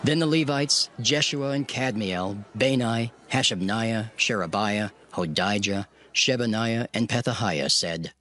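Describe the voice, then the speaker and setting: A man narrating a dramatized scripture reading in a formal, measured storytelling manner. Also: on the low side, a bit bright.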